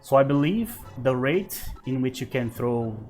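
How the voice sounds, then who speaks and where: A man in his thirties with a Brazilian Portuguese accent, a Portuguese native speaker, hosting his gaming channel and thinking aloud over gameplay in relaxed let's-play commentary. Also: mid-range voice, a little slow, soft background music. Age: 30s.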